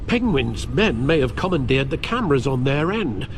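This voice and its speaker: An actor playing a loyal aide who calmly warns about a threat over a comm line. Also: male voice, medium pitch, normal speed, noisy background. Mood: wary.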